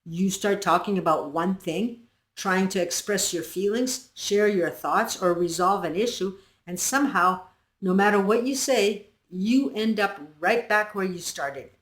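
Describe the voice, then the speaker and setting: A woman's voice: mid-range voice, unhurried. Congested woman host in an echoey room. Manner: earnest, emphatic, addresses viewers directly. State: congested with flu. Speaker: channel host. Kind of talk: explainer talk to viewers.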